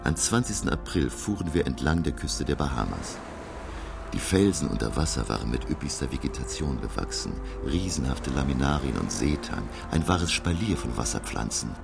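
Learned male professor, radio play storyteller, very low, gravelly, measured, dramatic, music behind. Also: sing-song, full character voice. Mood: grave, tense. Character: male professor narrating his perilous undersea voyage, grave and learned. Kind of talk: German radio play narration. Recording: music under the voice.

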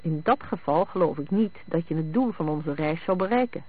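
A man voicing a calm, urbane, evasive husband in a read detective story, unhurried and teasing. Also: fairly high pitch, breathy edge. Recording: thin, phone-like band-limited sound.

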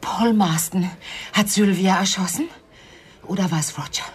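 An actress playing a cool, evasive widow with a guilty secret in a radio crime drama, controlled and often hushed. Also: female voice, medium pitch, normal speed, slightly rough, natural voice. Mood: scornful. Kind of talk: 1990s German radio crime drama acting.

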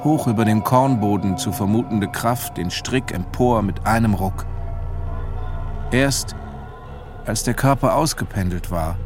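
A male actor delivers grave literary narration for a wartime radio play. His voice is fairly low and measured, with clear music playing behind it. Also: lightly acted. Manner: measured, solemn.

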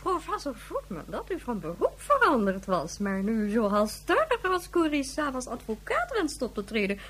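Haughty presiding official for sci-fi radio play: high, husky, formal, impatient, swooping intonation. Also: female voice, wildly swooping pitch, normal speed, a bit bright, heavily acted. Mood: haughty irritation. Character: imperious, impatient woman presiding as Her Excellency. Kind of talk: Dutch science fiction radio drama acting.

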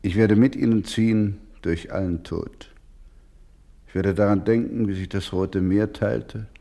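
Radio play: gentle, unbending older male doctor, low register, grave and deliberate, formal phrasing. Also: fairly even intonation, gravelly. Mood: solemn resolve. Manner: solemn, deliberate, formal, with emphatic repetition. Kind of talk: German radio play acting.

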